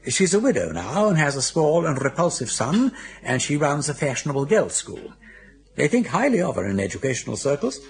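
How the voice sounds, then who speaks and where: Older english toff brother, wry and chatty, creaky voice that's all over the place, radio play. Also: male voice, a little high, heavily acted. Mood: teasing. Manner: urbane, wry, chatty and theatrical. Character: breezy, teasing older aristocratic brother, witty and worldly. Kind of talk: British radio comedy drama acting.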